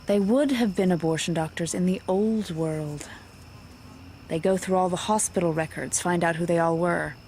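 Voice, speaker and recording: A woman's voice: on the low side, moderate pace, a little mellow, lightly acted. A woman playing a devout, judgmental handmaid in an audio drama, prim and controlled in dialogue. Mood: righteous. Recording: light ambient scene noise.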